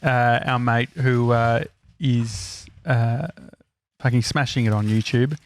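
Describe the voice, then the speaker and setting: A man's voice: medium pitch, fairly even intonation, slow pace, slight background noise. An Australian man co-hosting a podcast, chatting casually with self-deprecating jokes. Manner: self-deprecating, joking, swears. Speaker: podcast co-host. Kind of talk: casual chat.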